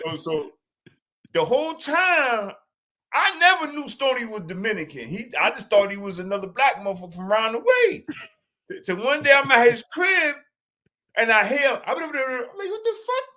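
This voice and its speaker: A middle-aged man, co-hosting a podcast in casual banter. His voice sits high and bright, and he tells his stories loudly with plenty of street slang and laughter. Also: sing-song, normal speed. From Boston. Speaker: podcast co-host.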